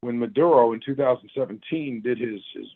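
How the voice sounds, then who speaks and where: A hoarse man with a raspy voice and a sore throat, a retired army sergeant guest explaining his views in a casual interview. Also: mid-range voice, brisk, dry recording. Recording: tinny phone-line call.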